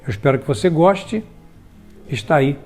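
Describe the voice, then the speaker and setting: A man hosting a tribute web series, speaking earnestly and haltingly with many restarts. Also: on the high side, animated, a little mellow, soft background music. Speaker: host.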